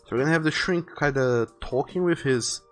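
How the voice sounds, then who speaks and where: A man's voice: fairly high pitch, fairly quick. A man streaming casually, rambling and rephrasing as he thinks his plans aloud. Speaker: live streamer illustrating a visual novel. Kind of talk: casual livestream chat, thinking aloud while planning artwork. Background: music.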